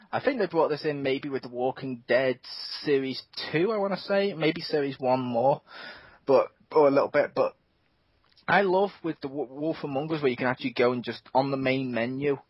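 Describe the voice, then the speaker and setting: A man hosting a casual gaming podcast, talking fast and excitedly with plenty of swearing. His voice is husky and slightly breathy. The dull, lo-fi recording has a dry, close sound. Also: medium pitch, no room sound. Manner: animated, swears freely, quick asides. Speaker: podcast host.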